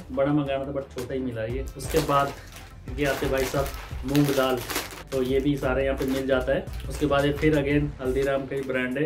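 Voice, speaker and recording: A man in his thirties from India, chatting casually and with animation as he unboxes groceries for his vlog, using his hands as he talks. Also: medium pitch. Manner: chatty, animated, gesturing while talking. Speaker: vlogger. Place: indoor room, green screen and purple backdrop.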